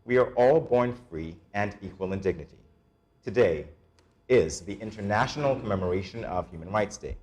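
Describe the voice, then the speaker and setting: This is a man with a very low, deep voice, hosting a morning talk show. He presents in a measured delivery that sounds read from a script, and speaks steadily and plainly to the audience at home. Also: darkish tone.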